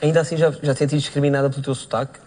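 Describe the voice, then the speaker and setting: A man's voice: medium pitch, flat delivery, very fast, darkish tone. A man moderating a televised debate, asking probing questions in a conciliatory way. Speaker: TV debate presenter.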